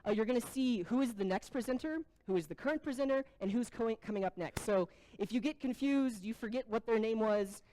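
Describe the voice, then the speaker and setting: A woman's voice: on the high side, brisk, few pauses, mellow, a little hiss or hum, poor audio. A woman hosting a tech showcase, casually walking the audience through a website and introducing speakers. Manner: casual, joking, plain. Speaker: event host.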